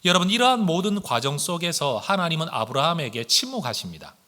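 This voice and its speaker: Male pastor, fairly high bright voice, earnest sermon delivery, talks straight to the congregation. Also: big pitch swings, a little fast. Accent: standard Korean, with regional intonation slipping in when speaking fast. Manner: earnest, addresses the congregation directly with rhetorical questions. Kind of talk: Sunday morning sermon.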